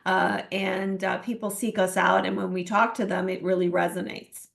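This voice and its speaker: Gravelly-voiced woman coach from Iran. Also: medium pitch, little pitch movement, moderate pace, fairly bright tone. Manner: earnest, many fillers and restarts. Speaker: guest, transformational coach. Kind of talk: interview answers.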